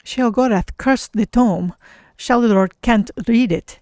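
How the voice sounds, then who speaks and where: A woman voicing a mild, thoughtful cat-person mage in a measured, formal way, role-playing through a game stream. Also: a little high, a little mellow, no room sound, clean studio sound. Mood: polite, matter-of-fact. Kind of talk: character-voiced game stream roleplay.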